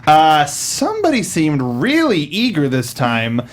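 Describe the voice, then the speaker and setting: A man's voice: on the high side, huge pitch swings, unhurried. A man hosting a casual panel show, joking and sounding like an announcer when introducing things. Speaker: talk show host.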